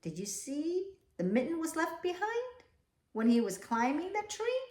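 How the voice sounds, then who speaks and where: A woman reading a children's picture book aloud expressively, often pausing to ask her young listeners questions. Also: very high-pitched, huge pitch swings, darkish tone. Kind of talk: children's read-aloud, alternating slow reading with asides about the pictures. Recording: echoey, roomy sound.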